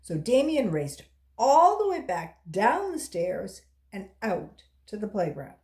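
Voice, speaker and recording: A woman reading a children's story aloud as a volunteer, in a deliberate storytelling style. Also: medium pitch, huge pitch swings, mellow.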